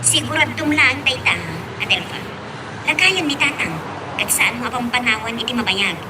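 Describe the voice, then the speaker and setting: A woman's voice: a little high, normal speed, far from the mic, noisy background, close to an everyday voice. A woman playing a concerned, questioning mother figure in an Ilocano audio drama. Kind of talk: Ilocano radio-style drama acting.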